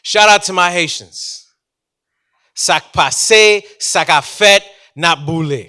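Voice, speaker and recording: New guy doing live spoken word, bright clean voice, playful, talks right at the crowd. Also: high-pitched, takes it slowly. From New York City, United States. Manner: animated, playful, engaging the audience directly. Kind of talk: live spoken-word performance with casual banter. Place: live event before an audience.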